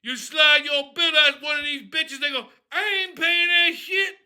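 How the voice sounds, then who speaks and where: A man playing a profane, loudmouthed character who rants and shouts in a comedy bit. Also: very high voice, fairly even intonation, unhurried, voice pushed up, full character voice. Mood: ranting. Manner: profane ranting, shouting, mocking. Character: foul-mouthed loudmouth man named Crazy Rufus.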